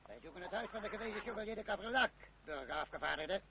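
An actor playing a subservient soldier in a radio drama, speaking in a flustered, apologetic way to a superior. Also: male voice, sits high, expressive, fast talker, gravelly. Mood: deferential, flustered. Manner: apologetic, deferential. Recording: tinny, faintly noisy old radio recording.

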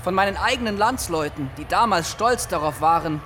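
A man's voice: sits high, expressive, a little fast, background noise. A male actor playing an earnest, troubled human who asks hesitant, pleading questions in a radio drama. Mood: earnest, impassioned. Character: earnest, troubled earthling man seeking answers.

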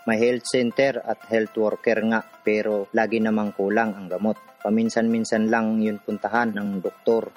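A man's voice: fairly low pitch, little pitch movement, moderate pace, dull audio, faint music behind, close to an everyday voice. An actor playing a poor man from a city slum, narrating his own hard life in a scripted radio drama. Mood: somber, reflective.

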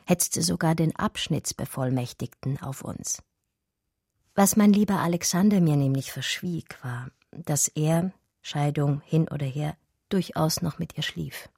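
A learned lady art historian telling her story, lowish mellow voice, radio play narration. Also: slightly rough, no room sound, clean studio sound, exaggerated voice. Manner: measured, eloquent, scholarly. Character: educated German art historian recounting her love story. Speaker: narrator and lead character. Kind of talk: literary radio drama narration.

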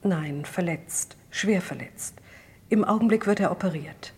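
An actress playing a careful, deferential night nurse in a vintage German radio crime drama. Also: female voice, on the low side, measured pace, slightly rough. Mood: grave. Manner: careful, subdued, precise.

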